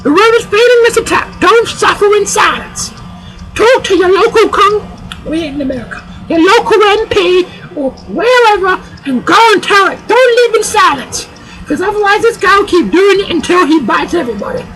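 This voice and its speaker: A performer voicing a loud, sassy older woman guest on a comic talk show, rambling and calling everyone "child". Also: very high-pitched, expressive, moderate pace, over music, rough recording. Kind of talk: comic sketch.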